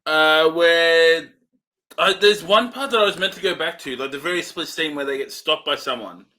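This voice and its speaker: A man co-hosting a film review show, speaking casually in a tentative, measured way. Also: fairly high pitch, bright, forceful tone.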